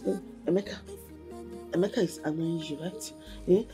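A woman's voice: on the low side, steady tone, moderate pace, warm tone, soft background music, natural voice. An actress with a Nigerian accent playing a mentally disturbed, childlike young woman grieving in a film drama, rambling and repeating herself. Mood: childlike, plaintive. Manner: repetitive, rambling, childlike speech.

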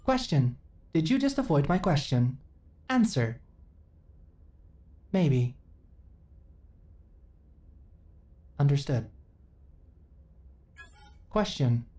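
A woman performs a female companion android for a sci-fi ASMR roleplay. The voice is low and soft-edged, with a mellow tone. She speaks in stilted, literal, formal phrases, earnest yet unsure of herself. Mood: flat, procedural. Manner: stilted, formal, literal, emotionally flat delivery. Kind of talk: ASMR roleplay audio drama.

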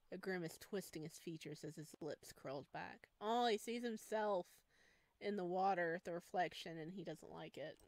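A woman's voice: lowish voice, dry recording. A woman reading visual novel narration aloud expressively, as a gaming video host.

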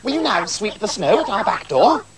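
A man voicing three tiny, excitable magical little men who squeal and coo in a storybook tale. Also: moderate pace, a loud crowd. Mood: eager. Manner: squeaky, ooh-ing, giddy.

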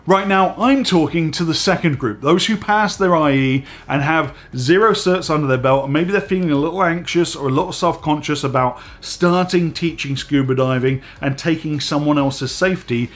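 A man in his forties hosting a casual vlog from a home studio, slightly hoarse and stuffy from a head cold, chatty and animated as he talks to the camera. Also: on the high side, normal speed. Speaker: host. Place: home studio room with dive gear on shelves.

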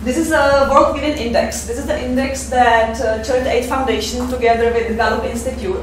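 A woman in her thirties with a Czech accent gives a fundraising presentation, halting with many fillers, recorded from afar in a seminar room. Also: on the high side, steady tone, recorded from a distance, slight background noise. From Czech Republic. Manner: hesitant, many fillers, halting non-native English. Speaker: fundraiser giving a conference talk. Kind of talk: presentation talk. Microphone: far camera mic. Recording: echoey room, picked up from afar.

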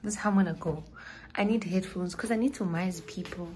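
A woman's voice: fairly low pitch, brisk, noisy background. A young South African woman chatting to her phone camera in a small dorm room, rambling and dropping into whispered asides. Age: young adult. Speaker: student vlogger. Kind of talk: casual vlog chat to camera. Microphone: phone camera at arm's length.

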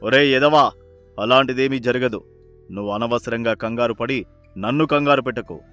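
Man as ageing village headman, story cartoon: sly, authoritative, smooth-talking, mid-pitched. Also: normal speed, fairly bright tone, soft background music, lightly acted. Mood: scornful, secretive. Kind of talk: animated moral story character acting.

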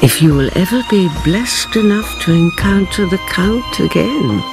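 A stately, devoted woman telling her tale straight to the listener. She is solemn and dramatic, speaking slowly with wide swings of pitch over music. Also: expressive, gravelly. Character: devoted, stately female storyteller, the count's companion. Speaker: narrator. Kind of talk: scripted radio drama narration.

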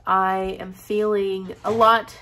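A woman's voice: medium pitch, flat delivery, slow pace, bright, forceful tone. Congested chatty woman vlogging at home. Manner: chatty and upbeat, playful. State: congested with a head cold. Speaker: book vlogger. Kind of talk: casual vlog chat. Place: home living room.

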